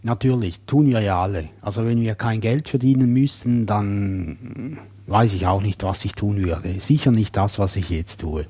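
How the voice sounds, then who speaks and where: Friendly, husky-voiced male host. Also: fairly low pitch, normal speed. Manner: friendly, joking, asks gentle questions. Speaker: podcast host. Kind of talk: podcast interview.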